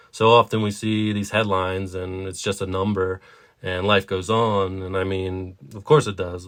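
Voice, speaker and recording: A man co-hosting a podcast, discussing stories conversationally in an earnest, reflective way. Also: fairly low pitch. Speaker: podcast co-host.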